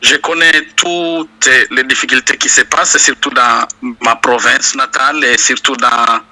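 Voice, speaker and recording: A man from South Kivu, a university lecturer answering interview questions slowly and hesitantly, with many pauses and fillers. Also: medium pitch, fairly even intonation, pushed and bright, rough recording. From DR Congo, South Kivu.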